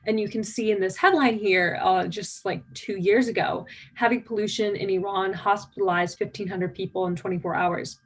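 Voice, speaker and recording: A woman's voice: mid-range voice, fairly even intonation, normal speed, few pauses, breathy edge. A nineteen-year-old woman from the United States giving a conversational conference keynote, eager and full of fillers. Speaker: keynote speaker.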